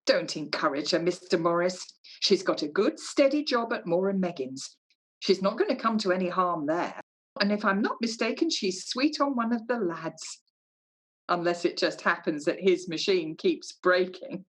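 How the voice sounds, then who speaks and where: Woman as a husky, animated older mother. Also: lowish voice, normal speed, poor audio. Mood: chiding. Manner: chiding, motherly. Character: fussing, practical older working-class mother and wife. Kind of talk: radio play acting.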